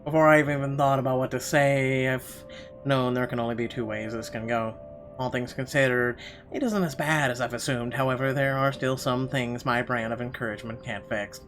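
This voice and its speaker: A man's voice: medium pitch, steady tone, normal speed, a bit bright, a little hiss or hum. Man dramatically reading game narration. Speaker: gaming channel host reading a visual novel aloud. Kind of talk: dramatic read-aloud of first-person game narration.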